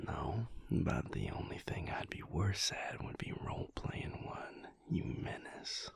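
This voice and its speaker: A man playing an affectionate, teasing vampire lover in an intimate audio roleplay, speaking softly and unhurriedly in a whispery, gravelly voice. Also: low-pitched, exaggerated voice. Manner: unhurried, soft, drily teasing.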